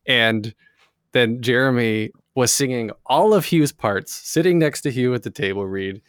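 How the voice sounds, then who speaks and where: A man hosting a podcast interview, eager, with quick agreeing interjections and chatty follow-up questions. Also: mid-range voice, clean tone, no echo, studio quality. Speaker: podcast host. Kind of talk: casual interview.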